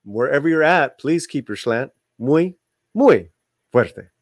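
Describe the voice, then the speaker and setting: A man's voice: mid-range voice, expressive, slow, no echo, studio quality. A man hosting a video, reading an article aloud with informal asides to viewers and some stumbles over the words. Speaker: video channel host.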